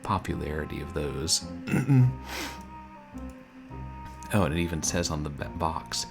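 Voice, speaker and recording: A man streaming a video game, chatting casually and soft-spoken with viewers, often chuckling. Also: a bass voice, a little slow, creaky. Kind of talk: casual let's-play chat. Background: game music.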